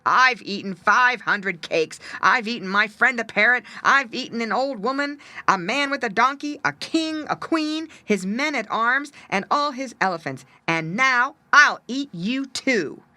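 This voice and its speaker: A woman's voice: on the high side, animated, unhurried, hardly any pauses, pushed and bright, no room sound, pitched above the performer's own voice, heavily acted. A woman voicing a mean, greedy, fat male cat in a storybook, boastful and menacing, drawing out his words. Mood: gloating, menacing.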